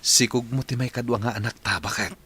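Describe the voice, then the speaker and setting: A man's voice: mid-range voice, fairly even intonation, fast, bright, forceful tone, slightly rough. A man acting an earnest, caring young husband in an Ilocano radio drama.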